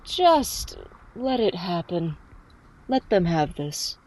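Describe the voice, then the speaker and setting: A woman's voice: on the low side, very animated, takes it slowly, a little mellow, full character voice, low-quality recording. A woman playing a spirited, eager young superhero in an audio drama. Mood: soothing. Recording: music behind.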